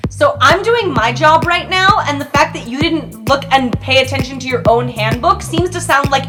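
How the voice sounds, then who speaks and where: Woman doing a bossy earnest high school girl, high pitched, music under her, radio rpg. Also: animated, normal speed. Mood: indignant, firm. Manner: prim, insistent. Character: earnest, assertive teenage girl, student class co-president.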